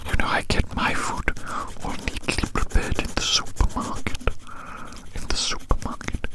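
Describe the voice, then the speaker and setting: A man speaks entirely in a whisper, very close to the microphone. He rambles as an ASMR host giving personal attention, often repeating a phrase and asking the listener teasing questions. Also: moderate pace.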